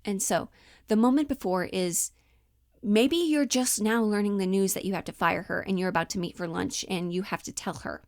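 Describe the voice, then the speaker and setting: A woman's voice: mid-range voice, normal speed, no room sound. Casual, candid woman acting coach, dry recording. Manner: candid, informal, mildly sweary. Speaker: acting coach and monologue performer. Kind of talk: casual explanatory commentary.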